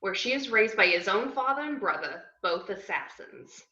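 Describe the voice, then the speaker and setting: Woman doing brash sardonic crime-movie girl narrating, super animated, roomy call audio. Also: mid-range voice, normal speed, highs cut off. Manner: sardonic, slangy. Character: brash, cheeky young woman narrating her crime story. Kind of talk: scripted narration in a table read.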